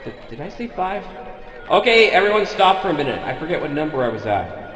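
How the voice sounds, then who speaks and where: A man's voice: a little high, sing-song, brisk, fairly bright tone, faint music behind. A man playing a flustered, eager launch-control announcer in a comic promo skit, theatrical and distractible.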